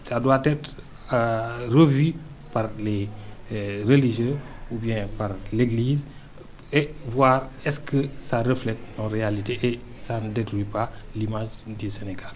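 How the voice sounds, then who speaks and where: A man's voice: medium pitch, steady tone, a little slow, a little hiss or hum. Hesitant Senegalese man on political radio. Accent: Senegalese French. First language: Arabic-educated, not a French native speaker. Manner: hesitant, many fillers and restarts, earnest. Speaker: political party delegate, radio guest.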